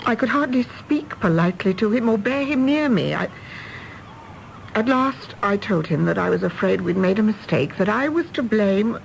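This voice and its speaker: An actress playing a weary, self-reproachful middle-aged widow with an English Received Pronunciation accent in a radio drama. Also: female voice, medium pitch, creaky, slight background noise, rough recording. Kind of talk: British radio drama acting.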